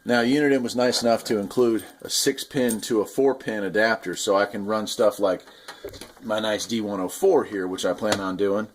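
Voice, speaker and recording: A man's voice: lowish voice, steady tone, normal speed, a little hiss or hum. A man giving a casual, unscripted product review, explaining things hands-on in a rambling, enthusiastic hobbyist way. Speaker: radio hobbyist reviewer and video host.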